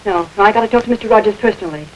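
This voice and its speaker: An actress playing a cold, insistent gangland informer in an old-time radio crime drama. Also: female voice, medium pitch, rapid-fire, highs cut off, close to an everyday voice. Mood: urgent, secretive. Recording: noisy vintage radio recording.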